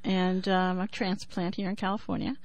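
A woman in her sixties with a New York accent who talks thoughtfully with many pauses and then reads her poem in a measured rhythm. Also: mid-range voice, flat delivery, a little mellow, no room sound. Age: 60s. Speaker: poet and writer. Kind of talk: interview and poetry reading.

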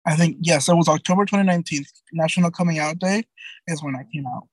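Young guy about 20, kinda high light voice, says like and um a lot, dry close sound. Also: fast, no echo. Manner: chatty, full of "like" and "um", restarts. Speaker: interview guest. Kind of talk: casual personal interview.

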